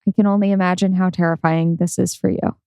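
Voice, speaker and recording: A woman in a dramatic script reading, playing a caring, worried wife and mother who is reassuring and gently insistent. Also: fairly low pitch, flat delivery, fast, clean tone, dry recording, lightly acted. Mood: concerned.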